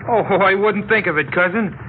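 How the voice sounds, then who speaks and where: A man's voice: high-pitched, normal speed, close to an everyday voice. A man playing a cocky young gang leader in a radio drama, taunting in slangy, mock-polite talk. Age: young adult. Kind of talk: 1960s radio drama acting. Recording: muffled, noisy old radio recording.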